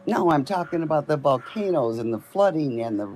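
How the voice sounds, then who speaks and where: Low-voiced woman in her sixties, stubborn. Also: expressive, a little fast, darkish tone, a little hiss or hum. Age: 60s. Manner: stubborn, defensive, talks over the host. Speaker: caller. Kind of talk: call-in argument.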